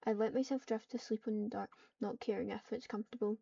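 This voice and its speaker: A woman's voice: medium pitch, steady tone, quick pace, creaky, mellow, husky, no room sound. Woman roleplaying on a muffled, distant mic. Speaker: online roleplay gamer. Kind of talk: live roleplay narration mixed with acted dialogue.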